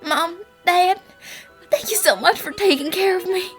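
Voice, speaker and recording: A woman playing an earnest, devoted young adult daughter, speaking sincerely and gently in an animated drama fan dub. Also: very high voice, a little slow, faint music behind. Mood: tender, grateful.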